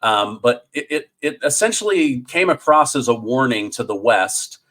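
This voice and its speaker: A man's voice: mid-range voice, lively intonation, moderate pace, a bit bright. Hesitant male podcast host. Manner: hesitant, stacks filler words before questions. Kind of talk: podcast interview.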